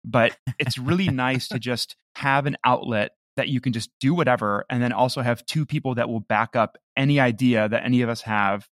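A man talks casually as a guest in an interview, with a self-deprecating, conversational style. His voice is medium in pitch and recorded very dry, without room sound. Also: normal speed, hardly any pauses, a bit bright, no room sound. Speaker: podcast creator, interview guest.

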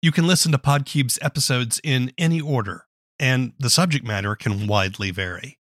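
A man's voice: mid-range voice, moderate pace, fairly bright tone, no room sound, studio quality. A man working as interviewer and narrator, reading a scripted radio feature.

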